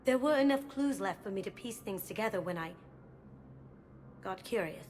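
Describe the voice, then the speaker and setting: A woman's voice: medium pitch, lively intonation, moderate pace, a little mellow, a little hiss or hum, lightly acted. Woman playing a sly, playful master thief. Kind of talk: video game voice acting.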